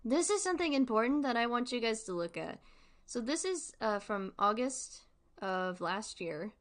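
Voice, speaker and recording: A woman's voice: on the high side, sing-song, normal speed. A woman streamer giving casual livestream commentary in an informal, rambling, giggly way.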